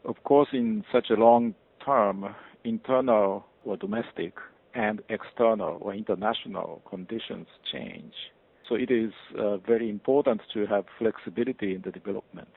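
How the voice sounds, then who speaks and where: Guest professor, man, 50s; husky, gravelly low voice, formal and measured, heard over a tinny phone-like line. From Japan. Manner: measured, formal, with frequent pauses and uh fillers. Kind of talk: interview answers.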